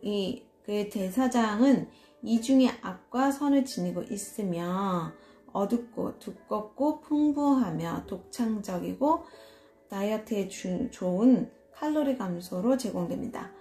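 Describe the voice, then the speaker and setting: A woman from Seoul reading and explaining tarot cards in a casual solo video, talking slowly and hesitantly with many fillers and soft laughs. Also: medium pitch, animated, soft background music. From Seoul, South Korea. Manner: slow, hesitant, self-deprecating, frequent fillers and soft laughs. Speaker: tarot reader.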